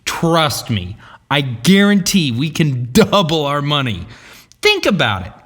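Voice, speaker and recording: A man playing an excitable, hot-headed, foul-mouthed character in comedy audio sketches, with an emphatic, dramatic delivery. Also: fairly high pitch, unhurried, exaggerated voice. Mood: cocky, persuasive.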